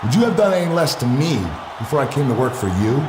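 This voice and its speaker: A man's voice: medium pitch, lively intonation, fast talker, noisy background, natural voice. Man playing a brash wrestling schemer, echoey. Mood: smug, defiant. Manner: argumentative, emphatic. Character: brash, scheming male wrestling show boss. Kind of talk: video game wrestling cutscene promo. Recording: echoey room with music behind.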